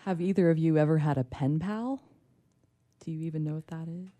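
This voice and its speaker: Low-voiced woman chatting casually. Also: brisk, warm tone. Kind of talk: casual chat.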